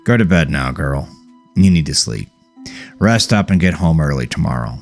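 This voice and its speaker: A man's voice: rumbling low, animated, normal speed, vocal fry, faint music behind, exaggerated voice. A man voicing a weary, caring, plain-spoken elderly father with folksy phrasing in an audiobook. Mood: gentle, tired.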